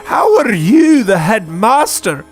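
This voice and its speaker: A performer voicing a stern, exasperated witch professor in a comic audio story, with a deadpan manner. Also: medium pitch, slow, husky, soft background music, heavily acted.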